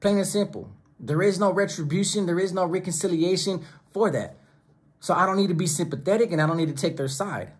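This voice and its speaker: A man's voice: high voice, fairly quick, breathy edge. A middle-aged man hosting his own channel, talking straight to the camera from a small home studio in an emphatic, preachy way, often stacking phrases in lists. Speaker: YouTube channel host. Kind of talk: direct-to-camera commentary. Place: small home studio room with monitors and speakers. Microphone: close camera, seated at desk.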